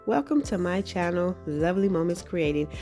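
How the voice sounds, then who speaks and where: Casting note: a friendly woman with a lowish voice and a slight cold-rasp, relaxed crafting vlog chatter, soft music underneath. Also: moderate pace. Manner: friendly, chatty, frequent ums. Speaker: craft channel host. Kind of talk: casual craft tutorial narration.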